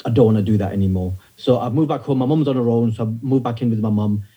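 A comedian in his thirties with a northern English accent, telling stories in a relaxed interview with wry, self-deprecating humor. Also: medium pitch, fast talker, slightly rough, muffled recording. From north of England. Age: 30s. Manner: wry storyteller, self-deprecating, many fillers. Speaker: stand-up comedian guest. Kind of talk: casual interview chat.

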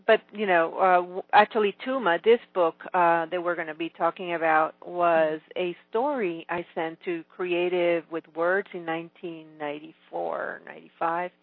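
A woman's voice: lowish voice, steady tone, moderate pace, hardly any pauses, no room sound. A middle-aged woman from Venezuela, a Spanish speaker, chatting as an author guest in a phone interview and restarting often. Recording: tinny phone line.

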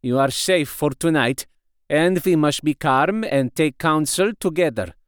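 A man voicing a solemn, reassuring professor in a gothic audiobook, measured and in command. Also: medium pitch, darkish tone, no echo, studio quality, close to an everyday voice. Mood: calm reassurance. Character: calm, commanding male professor, reassuring vampire expert.

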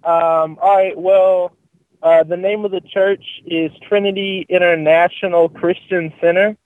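A man answering a phone call, halting and repeating words as he gives directions. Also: high-pitched, level tone, no room sound. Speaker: church contact receiving a call. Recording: tinny phone line.

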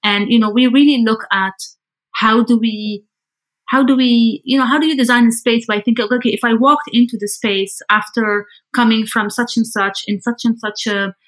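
A woman's voice: fairly high pitch, fairly even intonation, normal speed, fairly bright tone, poor audio. A woman from Lebanon speaking as an architect guest in an interview, thoughtful and conversational, feeling out her phrasing as she goes.

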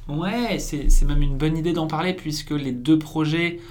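A man's voice: fairly high pitch, steady tone, normal speed, darkish tone, a little hiss or hum. A man in his twenties from northern France, a guest telling his story in a chatty way with many fillers and restarts. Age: 20s. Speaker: guest, founder. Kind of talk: casual interview.

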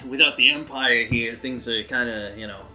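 A man's voice: mid-range voice, moderate pace, sharp tone, soft background music. A man voicing a self-important, slimy starport official with a mock Italian accent and an unctuous, hesitant manner. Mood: nervous backpedaling.

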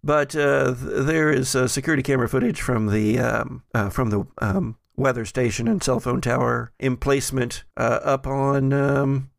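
A man with a creaky, gravelly voice narrates a tabletop game as its game master. He talks haltingly, feeling for words, at an ordinary pitch, recorded close and dry. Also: fairly even intonation, no echo.

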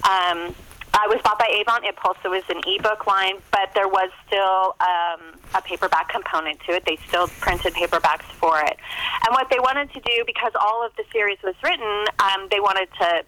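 A woman's voice: medium pitch, steady tone, normal speed, bright, forceful tone, a little hiss or hum, poor audio. A woman speaking as an interview guest, an author telling her story in a casual, conversational way.

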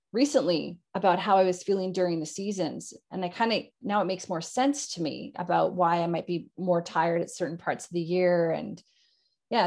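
A woman's voice: on the low side, darkish tone. A woman from Canada with a Canadian accent, chatting as the host of an interview podcast. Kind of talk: conversational interview.

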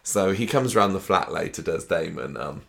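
A very deep-voiced man with a sore, croaky throat talks through the week's soap storylines. He speaks casually, stumbling and restarting often. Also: expressive, a bit bright. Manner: mocking impressions of characters, halting restarts. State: sore throat, losing his voice. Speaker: soap opera podcast host. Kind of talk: casual recap chat.

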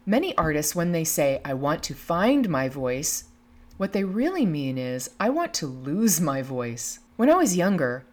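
A woman's voice: low voice, very animated, measured pace. A woman in her fifties hosting a podcast, speaking reflectively and reading quotations aloud from a script. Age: 50s. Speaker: podcast host.

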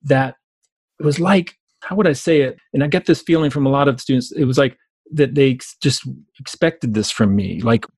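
A man's voice: mid-range voice, normal speed, a little mellow, husky, no echo. A man with a Southern US accent talking conversationally in an interview, telling stories as a coach and stressing points by repeating phrases. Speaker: business coach, speaker and author being interviewed.

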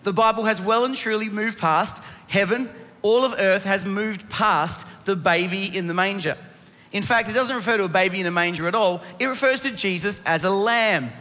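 Male preacher, laryngitis-strained but bright and forceful, addressing a congregation in a long-reverb hall. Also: high voice, moderate pace. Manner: rhetorical questions, instructive, addressing the congregation directly. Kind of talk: Christmas sermon to a congregation. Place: church hall.

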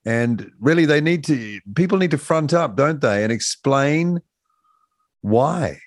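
A man's voice: a little high, expressive, measured pace, no room sound, studio quality. A man hosting a conversational radio interview.

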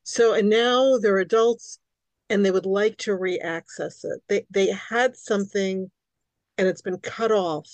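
A woman's voice: medium pitch, a little slow, dry recording. Woman in her sixties, dry close voice. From New York City, Queens. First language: Hungarian. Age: 60s. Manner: animated, repeats words when starting thoughts. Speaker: guest author. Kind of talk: conversational podcast interview.

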